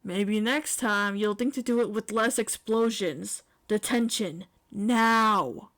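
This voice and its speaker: A woman's voice: mid-range voice, takes it slowly, a bit bright. A woman voicing an exasperated male schoolteacher in a story, scolding emphatically. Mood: weary, stern. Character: exasperated male teacher scolding his troublemaking student.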